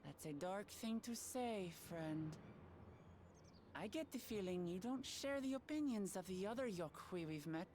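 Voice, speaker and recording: A woman voicing an earnest, firm young claimant to a throne in a game, speaking in measured, declarative lines over music. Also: medium pitch, animated, a little mellow, a little hiss or hum, lightly acted. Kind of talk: voicing game cutscene dialogue.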